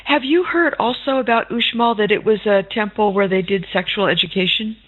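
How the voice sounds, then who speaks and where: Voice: mid-range voice, a little fast. An interviewer chatting casually and affirming the guest with short interjections. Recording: tinny phone-line audio.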